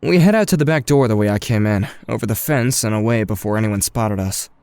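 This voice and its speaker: A man's voice: lowish voice, brisk, darkish tone, clean tone, no echo, studio quality, lightly acted. A young man playing an earnest, awkward, wry teenage boy who narrates and talks in an audio drama. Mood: wry narrating. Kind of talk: first-person narration and dialogue in an audio drama.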